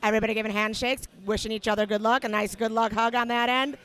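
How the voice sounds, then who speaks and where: A woman commentating a tournament livestream, slightly hoarse today, explaining strategy in a relaxed way with many fillers. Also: a little high, fast. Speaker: match commentator. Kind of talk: casual sports commentary.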